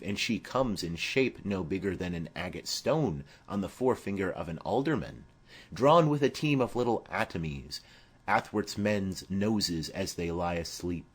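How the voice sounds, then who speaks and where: A man voicing a boisterous, witty young gentleman in a theatrical Shakespeare audiobook reading. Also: low voice, husky, full character voice. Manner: theatrical, declamatory.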